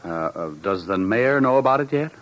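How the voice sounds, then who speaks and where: An actor playing a wry, easygoing private detective with glib, hesitant delivery in 1950s radio drama style. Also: male voice, mid-range voice, animated, normal speed, fairly bright tone, natural voice. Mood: uneasy.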